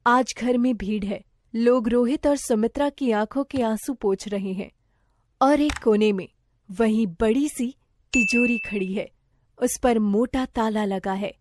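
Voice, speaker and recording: A woman's voice: a little high, measured pace, darkish tone, a little hiss or hum. A woman reading a scripted moral story as its narrator.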